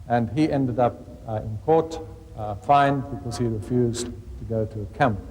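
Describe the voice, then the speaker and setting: A middle-aged or older man giving a political speech at a rally, in halting phrases. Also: mid-range voice, a little mellow. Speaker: rally speaker. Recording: reverberant hall, faint noise.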